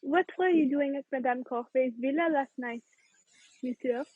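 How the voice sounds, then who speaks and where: A woman's voice: sits high, moderate pace, a little mellow, breathy edge, no room sound. A woman playing a formal, stern French prefect of police questioning witnesses in an amateur radio play. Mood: measured, official. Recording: thin, narrow phone-like sound.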